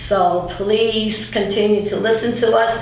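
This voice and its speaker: A woman hosting a radio show in a casual, plain-spoken, unhurried way. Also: medium pitch, fairly even intonation, a little mellow. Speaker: radio host. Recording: very echoey room, distant mic, faint noise.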